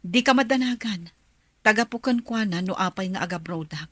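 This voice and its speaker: An actress playing a worried, loving mother with a husky voice in an Ilocano radio drama. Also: mid-range voice, normal speed, no room sound, lightly acted. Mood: pleading. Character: worried, loving mother leaving to work abroad.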